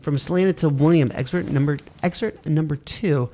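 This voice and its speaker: A man's voice: a little high, fairly quick, warm tone, slight background noise. A man playing a video game, muttering, swearing at it and reading its text aloud. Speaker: let's play gamer. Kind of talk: casual let's play commentary, reading game text aloud.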